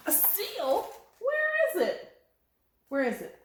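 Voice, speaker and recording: A woman voicing a cheerful little bunny sailor from a children's story, with exclaiming, drawn-out lines. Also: very high voice, huge pitch swings, a little hiss or hum, voice pushed up, heavily acted. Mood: excited, glad. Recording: very echoey, roomy sound.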